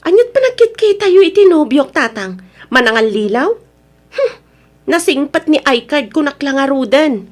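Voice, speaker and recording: A woman playing a stubborn, lovestruck young single mother in an Ilocano radio drama. Her voice is high-pitched, with an Ilocano accent, as she stands her ground as a daughter. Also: big pitch swings, normal speed. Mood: defiant.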